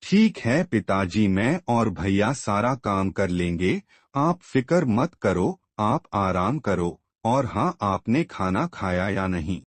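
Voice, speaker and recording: A man's voice: lowish voice, big pitch swings, slow pace, dry recording. A man playing a caring, respectful young adult son in a cartoon moral story.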